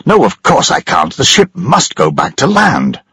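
A man voicing a shaken engineer in a storybook reading who speaks with firm urgency. The husky tone and lively pitch keep him tense, and the recording is close and dry. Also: a little slow, no echo, full character voice. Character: alarmed male engineer passenger.

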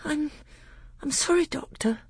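A narrator acting an eager, high-pitched young boy prince. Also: a little slow, no room sound. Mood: apologetic. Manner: earnest, wide-eyed, exclamatory.

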